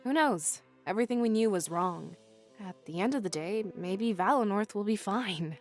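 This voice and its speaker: A woman's voice: mid-range voice, animated, normal speed, close to an everyday voice. An actress playing a thoughtful, uncertain female companion in a visual novel. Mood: pensive. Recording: background music under the voice.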